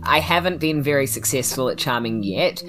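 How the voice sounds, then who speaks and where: A woman's voice: very deep voice, expressive, moderate pace. A woman with a New Zealand accent chatting casually and playfully as a player in a tabletop game. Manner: jokey, playful. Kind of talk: casual joking chat.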